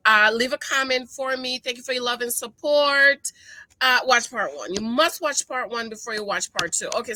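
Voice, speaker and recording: A woman casually recapping a reality show from home, chatty and animated, acting out the gasps of the people she describes. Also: fairly high pitch, no room sound. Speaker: reaction vlogger. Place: at home, street outside audible.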